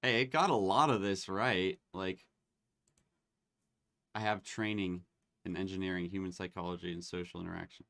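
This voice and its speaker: A man hosting a live online demo, chatting casually and getting excited as he tests tools. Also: low-pitched, normal speed, vocal fry, pauses often, no room sound. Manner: excitable, laughs and exclaims while testing tools.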